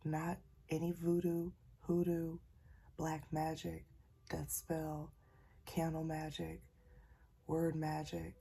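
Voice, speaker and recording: A woman sharing a casual spiritual message, talking softly because she is a little sick, in a husky, near-whispered voice and a folksy manner. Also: sits low, completely flat delivery, slow pace. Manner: folksy, calls listeners y'all and child. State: slightly sick, keeping voice soft. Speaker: spiritual channeler.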